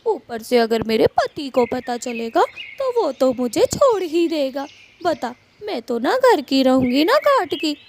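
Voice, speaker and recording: Cartoon wronged wife bird woman performer: high, dragging pace, helpless and plaintive. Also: creaky, darkish tone, slight background noise, exaggerated voice. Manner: plaintive, lamenting. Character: distressed, wronged married female bird, helpless and lamenting. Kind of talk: Hindi cartoon story dubbing.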